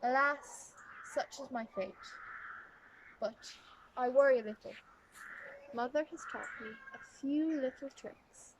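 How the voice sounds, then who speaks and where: A woman performs a seventeen-year-old noblewoman in a period drama monologue. Her voice is high and her phrasing prim and formal, by turns playful and put-upon. The recording is clearly noisy, with birds behind her. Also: takes it slowly. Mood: sly.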